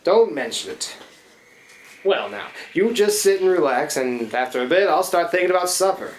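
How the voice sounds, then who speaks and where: A woman's voice: on the low side, expressive, moderate pace, lightly acted. A woman playing a scruffy, easygoing male riverboat skipper in a live radio play, with folksy working-class speech, chatty and grumbling but deferential. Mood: hospitable, easygoing. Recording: echoey live stage, noticeable room noise.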